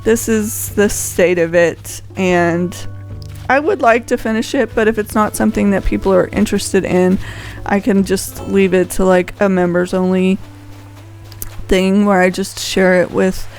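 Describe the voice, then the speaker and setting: Woman, unhurried, mid-pitched, casual gameplay host, rambling and conversational, music playing behind. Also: fairly even intonation, darkish tone. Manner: rambling, conversational, thinking aloud. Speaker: video host showing a game island. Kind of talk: casual unscripted gameplay commentary.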